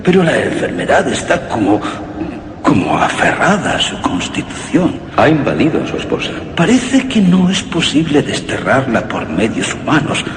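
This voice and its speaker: A baffled doctor played by a man delivers grim news in a radio drama. The voice is emphatic and formal, moving widely in pitch. It echoes through a long hall reverb with faint noise. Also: very animated, normal speed, close to an everyday voice. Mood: grave, regretful.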